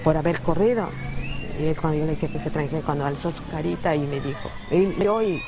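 An older woman giving a halting, emotional account in broken phrases, recorded for a news report. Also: sits low, background noise.

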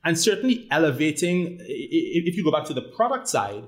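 A man's voice: fairly high pitch, very animated, fairly quick. A man with a Trinidadian accent, a guest in a casual interview, talking animatedly, repeating words as he builds his points and often tagging them with "right?". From Trinidad and Tobago. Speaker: guest, rum company founder.

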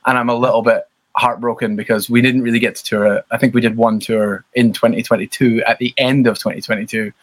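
A man's voice: medium pitch, level tone, fast. A Scottish man in his thirties, a band member talking casually as an interview guest, rambling and self-deprecating with lots of fillers.

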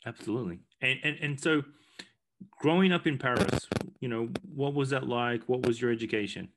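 Male podcast host, mid-pitched, eager, stumbling restarts. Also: moderate pace, noisy background. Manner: eager, repeats words when starting questions. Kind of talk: casual interview questions.

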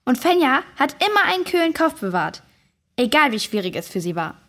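A woman's voice: sits high, very animated, fairly quick, fairly bright tone. A woman playing a curious, earnest young girl in a children's audio drama, with a questioning way of talking.

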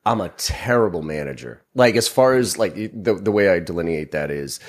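Host, male, 40s, very low voice, loose profane banter. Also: expressive, moderate pace. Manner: rambling, profane, self-deprecating banter. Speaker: podcast host. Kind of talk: casual conversational interview.

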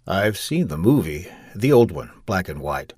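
A man's voice: low-pitched, sing-song, a little slow, a little mellow, breathy edge, dry recording, clean studio sound, heavily acted. A man voicing a nervous, petulant and lonely male client, hesitant and scoffing, in an audiobook short story. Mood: hesitant, serious.

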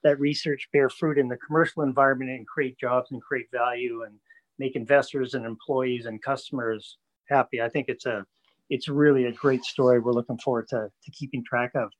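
A man's voice: medium pitch, steady tone, brisk, rough recording. A man from Canada, hosting an interview and framing his questions conversationally with context first. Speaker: interviewer, host. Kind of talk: business interview.

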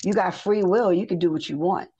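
A middle-aged woman singer in a casual interview, a bit hoarse, telling stories in an animated way with many fillers and restarts. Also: lowish voice, fairly quick. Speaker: singer guest. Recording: dull lo-fi audio.

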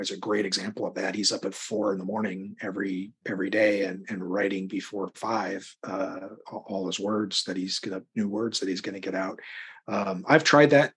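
A middle-aged man with a husky voice giving casual interview answers, thinking aloud in rambling, broken phrases. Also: fairly low pitch. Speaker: interview guest, crime novelist.

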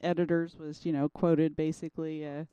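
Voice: mid-range voice, level tone, moderate pace, dark tone, clean tone, dry recording, highs cut off. A podcast co-host in casual chat, blunt and skeptical.

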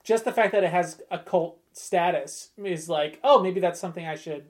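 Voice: mid-range voice, normal speed. A podcast panelist chatting casually and conversationally while reviewing movie trailers.

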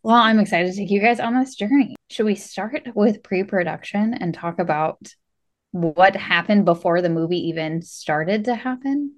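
A woman's voice: mid-range voice, normal speed. A woman co-hosting a casual film discussion podcast, speaking in an enthusiastic, chatty way. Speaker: podcast co-host.

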